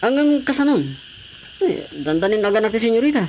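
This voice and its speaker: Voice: mid-range voice, big pitch swings, fast talker, darkish tone, slight background noise, low-quality recording. A performer acting a deferential, fretting maid in a radio drama.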